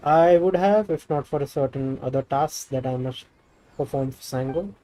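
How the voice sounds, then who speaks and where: Mellow man reading game dialogue. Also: medium pitch, normal speed, poor audio. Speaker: game streamer. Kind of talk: reading game dialogue aloud while playing.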